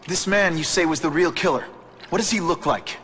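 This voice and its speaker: An actor playing a young, principled superhero in a video game, conversational and wry. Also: male voice, on the high side, a little fast, fairly bright tone, lightly acted. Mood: calm, questioning. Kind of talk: video game cutscene acting. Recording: music under the voice.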